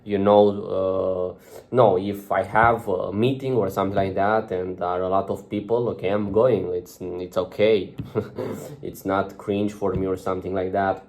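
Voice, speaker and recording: A man's voice: sits low, steady tone, unhurried, darkish tone. A young man speaking English as a second language, giving casual advice to the camera in a loose, chatty way, full of fillers and restarts. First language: not English. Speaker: vlogger giving social advice.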